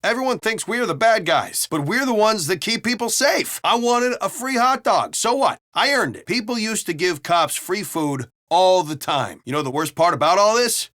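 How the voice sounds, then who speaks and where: A foul-mouthed, griping city cop with an American accent in a video game. His pitch sits a little high for a man and moves in lively swings. He talks in wry, casual banter, recorded dry and close to clean. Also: normal speed, hardly any pauses, dry recording. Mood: indignant.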